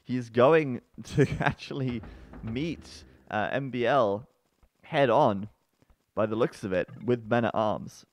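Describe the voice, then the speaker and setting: A man commentating a strategy game match live, chatty and full of fillers, breaking into excited outbursts at the action. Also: slow pace. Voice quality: bassy-sounding voice. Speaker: esports commentator.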